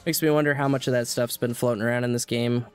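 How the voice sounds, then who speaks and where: A man's voice: mid-range voice, steady tone, fast, faint music behind. A man streaming a game, rambling casually to his viewers while he plays, sniffly with a cold. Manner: chatty, rambling, talks to chat. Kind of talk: casual gameplay commentary. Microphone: desk mic.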